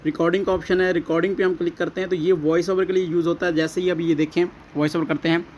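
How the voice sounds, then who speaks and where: A man's voice: a little high, little pitch movement, quick pace, a little mellow. A man with a Pakistani accent narrating a step-by-step app tutorial, explaining repetitively and addressing viewers as friends. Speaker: tutorial host. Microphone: voice-over recorded alongside phone screen recording. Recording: dull lo-fi audio, faint background noise.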